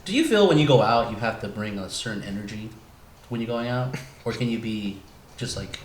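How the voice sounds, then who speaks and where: A man's voice: fairly low pitch, animated, fairly quick. A man hosting a casual podcast chat with friends, rambling through asides and swearing freely. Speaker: podcast host. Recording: echoey room with light background noise.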